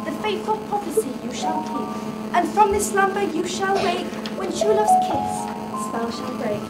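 Woman acting a very high-pitched pantomime enchantress. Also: very animated, moderate pace. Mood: solemn. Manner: declamatory, projected. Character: theatrical pantomime fairy and gloating wicked sorceress. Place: theatre stage, filmed from the audience. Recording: roomy theatre recording with loud music.

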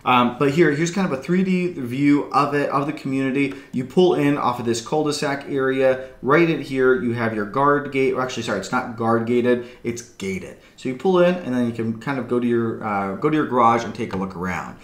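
A man's voice: medium pitch, fairly quick, few pauses, roomy sound. A man in his thirties, a real estate broker, chatting enthusiastically through a property walkthrough at his desk webcam. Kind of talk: upbeat property walkthrough video. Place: small room indoors.

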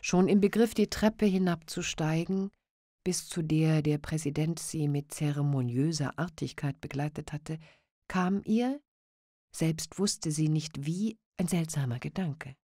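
A woman narrating a classic novella as an audiobook, in a measured, unhurried storytelling manner. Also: deep voice, warm tone, dry recording, clean studio sound.

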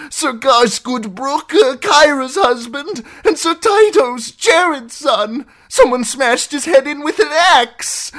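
A shrill, squealing captive nobleman in a fantasy audiobook. He whines and pleads very high, frantic and self-excusing, with wildly swinging pitch. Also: a bit bright, heavily acted. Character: cowardly, pleading captive lordling, adult man, whining and shrill. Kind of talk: audiobook character acting.

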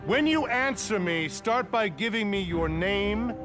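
A stern militia officer in a video game. He barks clipped orders in a high voice that jumps widely in pitch. Music plays clearly behind him. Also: expressive, measured pace. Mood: commanding, stern. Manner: shouted, clipped commands.

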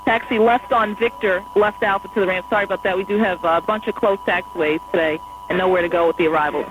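A woman air traffic controller in an airport tower, giving quick, polite instructions to pilots over the radio. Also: medium pitch, fairly even intonation, music in the background. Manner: brisk, polite and apologetic. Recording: noisy radio transmission with hum.

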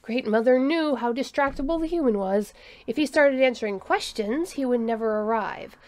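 A woman's voice: fairly high pitch, normal speed, darkish tone. A woman narrating a scripted story as an audio narrator.

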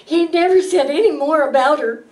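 A woman's voice: sits high, moderate pace, darkish tone, close to an everyday voice. A woman playing a fretful, theatrical grown daughter in an old-time radio melodrama. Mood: tearful, wailing. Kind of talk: 1940s radio acting. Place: meeting room stage before an audience. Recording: distant, noisy live room recording.

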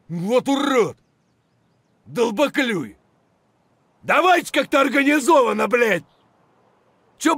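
An actor playing an aggressive, foul-mouthed Russian soldier barking urgent, coarse shouts in a military shooter game. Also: male voice, very high-pitched, no echo, heavily acted. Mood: angry.

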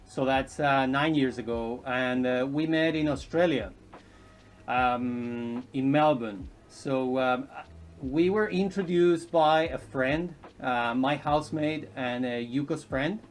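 A man in his thirties from Chile, a podcast guest chatting casually and haltingly, with many ums and restarts, recorded from a distance in a living room. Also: medium pitch, soft background music. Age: 30s. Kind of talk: casual interview chat. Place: indoors, home living room.

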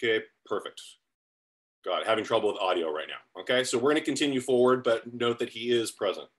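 A man's voice: mid-range voice, a little fast. A middle-aged man from San Diego chairing a public committee meeting over a video call, speaking plainly with frequent fillers. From San Diego, USA.

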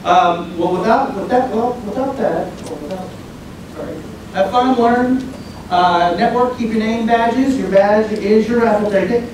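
A man's voice: high voice, measured pace, darkish tone. A man making casual announcements to a conference crowd, with many fillers and restarts, recorded from the back of a large room. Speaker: event organizer. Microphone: far camera at back of room. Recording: echoey room from far off, noisy.

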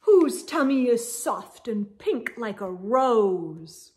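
High, animated woman storytime host. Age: middle-aged. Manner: animated, gentle asides, drawn-out words. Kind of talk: expressive read-aloud for young children. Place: indoor room lined with bookshelves.

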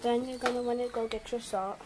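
Teen girl, bright voice, chatty home cooking demo with plenty of fillers, noisy phone recording. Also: on the high side, steady tone, brisk. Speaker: guest cook. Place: home kitchen. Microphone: handheld phone camera.

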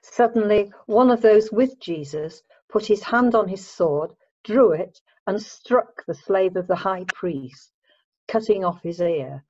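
A woman's voice: mid-range voice, animated, slow, darkish tone. A woman narrating scripture from a script as part of a dramatic reading. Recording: muffled lo-fi home recording.

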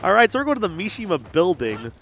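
A young man commentating a live fighting game tournament, joking and getting loud whenever the play heats up. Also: high voice, fast, a little hiss or hum. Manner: excitable, jokey, gets loud when hyped. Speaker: esports commentator. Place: large hangar hall at a gaming event.

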